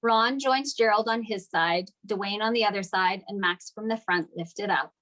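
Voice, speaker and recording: A woman's voice: mid-range voice, normal speed, a bit bright, low-quality recording. A woman narrating the stage directions of a screenplay at a table read.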